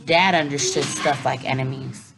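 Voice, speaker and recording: A woman teacher reading a children's picture book aloud, expressive, with playful asides to young listeners. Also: rumbling low, moderate pace, gravelly. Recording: noisy recording with clicks.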